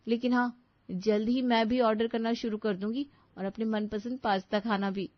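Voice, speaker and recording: A woman's voice: on the high side, little pitch movement, normal speed, no room sound, lightly acted. Woman playing shy young bride, polite n wistful, kids story, dry dull sound.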